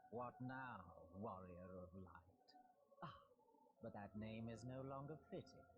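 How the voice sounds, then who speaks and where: A man's voice: fairly low pitch, lo-fi sound, close to an everyday voice. Haughty, menacing inhuman villain played by a man, noisy audio. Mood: mocking, haughty. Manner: grandiose, taunting, deliberate archaic speech. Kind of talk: dramatic video game voice acting.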